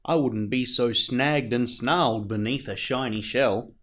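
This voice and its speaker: A man voicing a little male snail from a storybook, expressive and anxious, in a plaintive, exclamatory way. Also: mid-range voice, a little slow, lightly acted. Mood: pleading, distressed. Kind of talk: storybook character voice.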